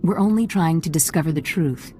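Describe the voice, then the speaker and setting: Woman as guarded official, low mellow voice, formal and polite, faint hum. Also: a little fast, close to an everyday voice. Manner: formal, polite, shutting questions down. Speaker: presiding senator.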